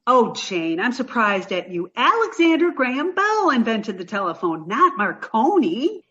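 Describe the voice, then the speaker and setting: An actress playing a sweet, naive, dim-witted young woman in an old-time radio sitcom style, earnest and slow on the uptake. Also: a little high, very animated, a bit bright, muffled recording. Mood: bright, oblivious.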